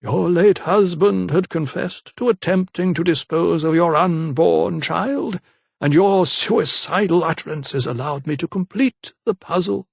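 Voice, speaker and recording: A man voicing a zealous, menacing old vicar who speaks in a slow, unctuous, preaching manner, in a dry, close audiobook reading. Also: a little high, creaky, breathy edge, no echo, pitched above the performer's own voice, exaggerated voice. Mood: sinister.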